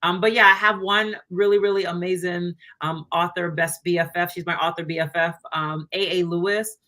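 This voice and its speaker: A bright, forceful woman's voice tells her own writing routine in a chatty, animated way. She sits a little low in pitch and talks over a video call, slipping into acted-out quotes as she goes. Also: moderate pace. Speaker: author guest. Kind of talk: casual interview chat.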